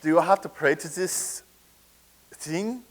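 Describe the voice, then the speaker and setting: Brief: man reading as a nervous, stammering soldier in a comedy reading, voice pitched a little high. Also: takes it slowly. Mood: flustered. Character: flustered male soldier from a past war.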